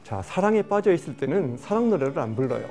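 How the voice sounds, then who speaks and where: A man's voice: a little high, quick pace, music in the background. A man lecturing a studio panel on regional dialects in songs, putting questions to his listeners. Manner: animated, asks the audience questions.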